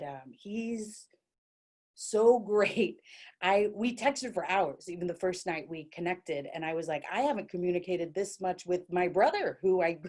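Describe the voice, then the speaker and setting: A woman's voice: on the low side, a little mellow. Chatty, self-mocking woman telling a comic story. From Dallas, Texas. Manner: chatty, self-mocking, lots of likes and restarts. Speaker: solo performer.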